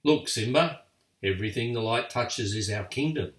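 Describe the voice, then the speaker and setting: A man's voice: mid-range voice, animated, moderate pace, close to an everyday voice. A stern, wise lion king speaking firmly to his son, read by an older grandfather in his near-natural voice. The sound is roomy and set back from the mic, bedtime-story style. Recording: echoey room, far from mic.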